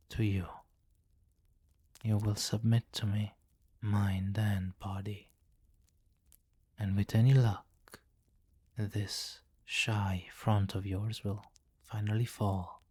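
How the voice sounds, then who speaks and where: A man voicing a possessive, seductive vampire king in an intimate ASMR roleplay, speaking formally and deliberately and often dropping into a whisper. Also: on the low side, level tone, warm tone, slightly rough, no room sound. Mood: seductive, reassuring. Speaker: audio roleplay performer.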